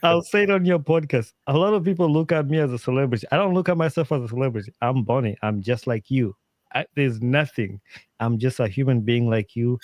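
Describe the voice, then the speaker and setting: A man from Uganda, a podcast guest giving earnest, animated advice in casual interview talk, with plenty of fillers and restarts. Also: medium pitch, a little fast, dry recording.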